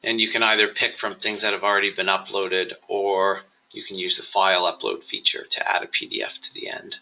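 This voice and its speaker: A man presenting a software tutorial, explaining each step in a measured, instructional screencast style. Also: low voice, creaky, rough recording.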